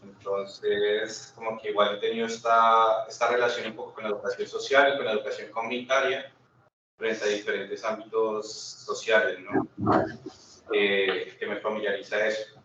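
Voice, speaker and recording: A man's voice: lowish voice, a bit bright. A Colombian man presenting informally at a seminar, conversational and often checking in with short questions to his listeners. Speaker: seminar speaker. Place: indoor seminar room. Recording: very echoey room, distant muffled mic, faint noise.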